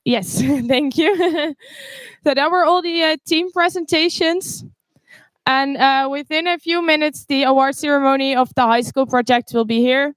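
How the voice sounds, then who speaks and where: A woman moderates a question round with a high voice, polite and brief. The sound is dry and close. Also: steady tone, a little slow, fairly bright tone, no echo. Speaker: session moderator.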